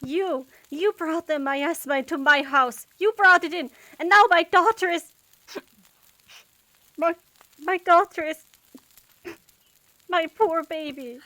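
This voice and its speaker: A woman's voice: extremely high pitch, slow pace, pauses often, pushed and bright, dry recording, heavily acted. A woman acting an anguished, haughty, accusing mother in a period audio drama, theatrical and formally spoken.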